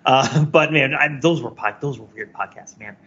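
A man's voice: mid-range voice, moderate pace, fairly bright tone, highs cut off, slight background noise. A man talking as a podcast panelist in an emphatic, rambling, philosophical way, giving casual reflective commentary.

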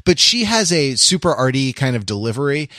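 A man's voice: medium pitch, sing-song, a little fast, bright, forceful tone, dry recording. Man talking casually, close and dry. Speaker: podcast host. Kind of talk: casual pop-culture discussion.

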